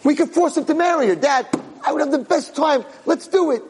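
A man's voice: very high voice, a little fast, darkish tone, a little hiss or hum, pitched above the performer's own voice. A man voicing a jealous, gleefully cruel young man wheedling his father in a story. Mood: excited wheedling.

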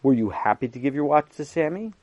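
A man's voice: medium pitch, expressive, fast, a little mellow, no echo, lightly acted. A man playing a stern, patient religious teacher who questions his pupils firmly. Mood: questioning. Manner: firm, questioning.

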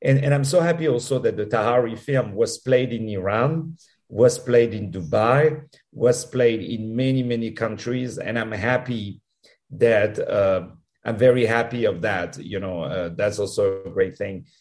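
A man with a French accent, a guest answering interview questions in a halting, effusive way with many restarts. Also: on the low side. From France. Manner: halting, repeats words, warm and effusive. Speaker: musical producer guest.

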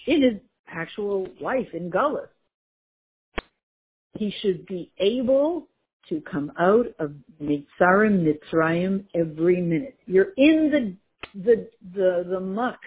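Female Torah teacher, animated lecture style, slightly husky, tinny phone-line recording. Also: on the low side, a little slow. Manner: lively, mixes Hebrew and Yiddish terms, searches for words. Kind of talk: Torah class explaining a text.

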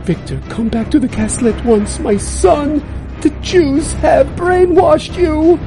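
A woman voicing a haughty, grieving duchess in a melodramatic radio-style story, speaking in a shrill, high, dramatic manner. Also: slow pace, over music. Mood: imperious. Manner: melodramatic, imperious.

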